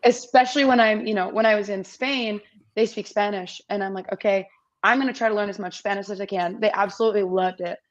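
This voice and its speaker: A woman in her twenties with a slightly raspy voice, chatting upbeat as a guest in an interview over a video call. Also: medium pitch, steady tone, fast, lo-fi sound. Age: 20s. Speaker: competition MC, guest. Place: small indoor room.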